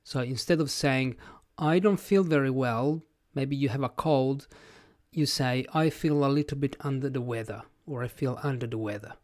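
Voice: mid-range voice, moderate pace, dry recording, clean studio sound. An English teacher whose first language is Italian, speaking with an Italian accent in a plain-spoken, reflective podcast lesson, thinking aloud as they go.

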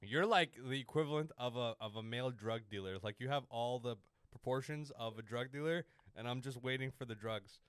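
A young man hosts casual banter, teasing a stranger with crude jokes. His voice sits in a middle pitch and the sound is dry and close, with no room around it. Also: fairly quick, fairly bright tone, smooth, no room sound. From Canada. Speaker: host of a stream chatting with strangers.

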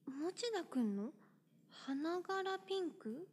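A woman's voice: very high-pitched, lively intonation, takes it slowly, a little mellow, full character voice. A woman voicing a cheerful, friendly teenage schoolgirl who talks in a breezy, teasing way, in an audio drama. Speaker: voice actor.

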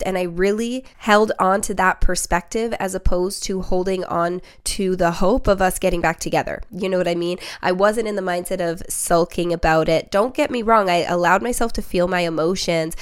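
A woman's voice: on the low side, smooth. Slightly nasal woman, sick, encouraging podcast host. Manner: earnest, encouraging, speaks straight to the listener. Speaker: podcast host and spiritual reader. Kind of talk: solo reflective podcast monologue.

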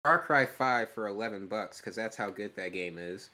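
A man's voice: fairly low pitch, normal speed, bright, forceful tone. A young man co-hosting a casual podcast, joking and laughing easily as he chats. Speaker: podcast co-host. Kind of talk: casual chat.

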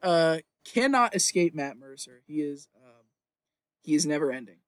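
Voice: mid-range voice, lively intonation, normal speed, no room sound. A podcast host chatting casually in an opinionated ramble, emphatic and sweary, often repeating phrases for emphasis.

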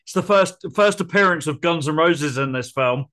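A man with a bright, forceful voice, steadily sharing film trivia in a relaxed podcast chat. His pitch sits a little high, and he lays out dates and credits in plain, matter-of-fact turns. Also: normal speed. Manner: informative, reels off film trivia. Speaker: podcast co-host. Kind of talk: casual film discussion.

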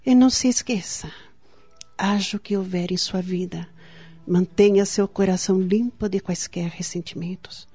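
Woman acting a mellow, solemn young spiritual healer. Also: medium pitch, steady tone, breathy edge, no room sound, close to an everyday voice. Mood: solemn, admonishing. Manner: measured, solemn, preaching. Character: gentle young female spiritist healer giving solemn counsel. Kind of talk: radio soap opera acting. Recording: dull lo-fi audio with music.

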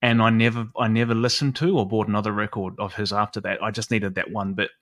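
A man hosting a casual chat, relaxed, swearing freely and laughing easily. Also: fairly low pitch, quick pace, dry recording, clean studio sound. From New Zealand. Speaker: host.

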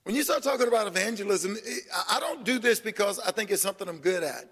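An older man preaching a sermon, telling humorous stories in a folksy, animated way and acting out the voices of people he quotes. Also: sits high, fast, husky, in a large hall. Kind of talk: sermon storytelling.

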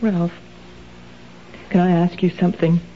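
A woman's voice: fairly low pitch, level tone, unhurried, slight background noise, natural voice. An actress playing a nervous married woman struggling with kleptomania, in a 1970s radio drama. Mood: anxious. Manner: tense, pleading, hesitant. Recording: dull old radio broadcast.

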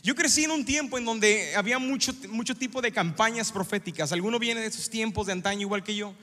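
A middle-aged man preaching a sermon as a pastor, animated and joking, acting out little scenes as he talks. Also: high-pitched, quick pace. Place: church sanctuary. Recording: long hall reverb in a church.

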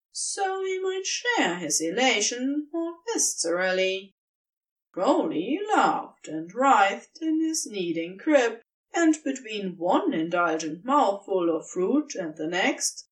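A woman reading a story aloud as an expressive scripted narration, recorded a little away from the mic. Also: on the high side, distant mic, poor audio. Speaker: podfic narrator.

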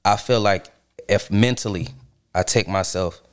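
A man from Charlotte, born in New York, talking as a podcast guest in an earnest, deliberate way. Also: fairly low pitch, clear, resonant tone. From Charlotte, North Carolina, born in New York. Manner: earnest, deliberate, checks in with "right?". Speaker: guest musician. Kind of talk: casual conversation.

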